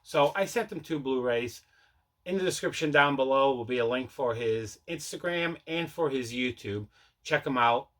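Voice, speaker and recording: A man with a very bright, forward voice at an average pitch hosts a casual unboxing vlog. He rambles conversationally and doubles back to correct himself as he talks. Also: moderate pace. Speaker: host of a collecting channel.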